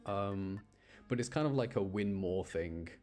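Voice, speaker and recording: Low-voiced man streaming a video game. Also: lively intonation, unhurried, darkish tone. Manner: informal, thinking aloud. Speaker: livestreamer. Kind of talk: casual gaming commentary. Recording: game music behind the voice. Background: video game music.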